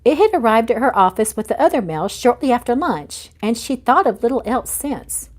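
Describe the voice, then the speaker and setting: A woman's voice: fairly high pitch, expressive, moderate pace. Woman reading a romance novel aloud. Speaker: author reading their own novel aloud. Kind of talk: audiobook-style narration of a romance novel, live reading.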